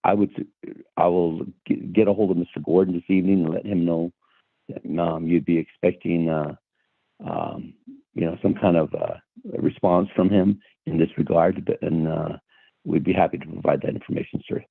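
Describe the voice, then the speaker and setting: A man speaks low and gravelly, hoarse from a cold, halting through his answers with many fillers. The sound is dry and tinny, as over a phone line, with no room around it. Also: no echo. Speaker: official answering committee questions. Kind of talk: formal testimony by phone.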